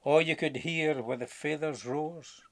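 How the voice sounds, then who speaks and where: Slow, gravelly Scottish male storyteller. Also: on the high side, steady tone. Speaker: storybook narrator. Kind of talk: dramatic rhyming verse storytelling.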